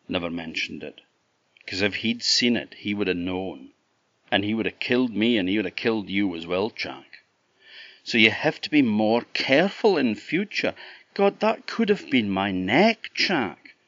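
Man voicing a kind, low-pitched Scottish lassie. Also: lively intonation, unhurried, a bit bright, dry recording, dull audio. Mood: gently scolding. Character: secret helper lassie, kind, scolding young woman.

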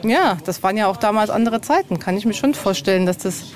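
A woman's voice: medium pitch, lively intonation, quick pace, darkish tone. A woman guest chatting informally and easily on location, thinking aloud as she goes. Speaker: author, podcast guest. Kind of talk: casual chat on location. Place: on a castle visit. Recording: location recording with background ambience.

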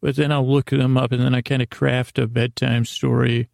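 A man with a mid-range voice full of heavy vocal fry speaks in a soothing bedtime-podcast monologue. He wanders and stammers, restarting phrases and interrupting himself. The sound is dry, with no room around it. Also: mid-range voice, level tone, darkish tone, no room sound. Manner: halting, meandering, with repeated stammered words and self-interruptions. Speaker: host of a bedtime-story podcast.

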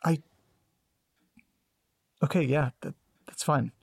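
A man's voice: on the high side, slow, gravelly, slight background noise. A man playing an uncertain but curious character in an audio drama, tentative and thinking aloud. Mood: surprised, tentative. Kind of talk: scripted audio drama dialogue.

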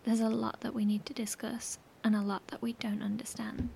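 A woman playing a weary, sombre bunker leader speaking in a hushed, breathy, measured way in a horror audio drama. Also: a little high, little pitch movement, slight background noise. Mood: frank, weary.

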